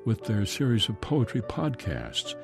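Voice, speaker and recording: A man hosting a radio segment, reading an almanac and a poem in a calm, measured way with slow drawn-out line endings. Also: on the low side, gravelly, over music. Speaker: radio host.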